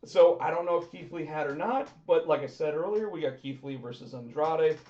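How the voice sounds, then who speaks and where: A man co-hosting a casual wrestling podcast, talking excitedly and repeating himself, with his voice going hoarse. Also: a little high, a little fast. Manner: excited, talkative, repeats words when starting. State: losing his voice. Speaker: podcast co-host. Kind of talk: casual chat about pro wrestling. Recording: roomy, dull lo-fi sound.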